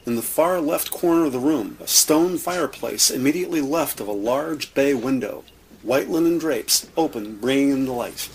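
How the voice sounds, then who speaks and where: A man narrating an audio drama from a script, describing scenes in measured present-tense storytelling. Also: mid-range voice, expressive, slight background noise, rough recording. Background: music.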